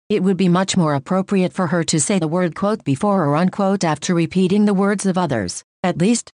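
A woman voicing a prim, condescending female voice assistant who answers in deadpan, sarcastic lectures. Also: on the low side, dry recording, clean studio sound, lightly acted. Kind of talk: scripted comic bit.

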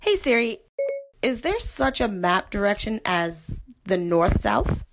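A woman's voice: mid-range voice, very animated, slow pace, slight background noise. A woman hosting a casual podcast who poses playful, mock-serious questions. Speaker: podcast host.

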